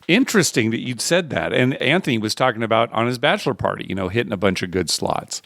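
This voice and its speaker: Man hosting a podcast, very animated pitch. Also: quick pace, creaky, no room sound, studio quality. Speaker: podcast host. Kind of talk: casual conversational podcast talk.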